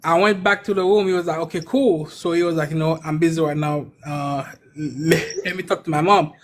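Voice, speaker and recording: Male storyteller, Haitian accent, somewhat high pitch, halting with repeated words, faint noise behind. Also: steady tone. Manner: halting, stammering repetitions. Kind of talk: personal story in casual live chat.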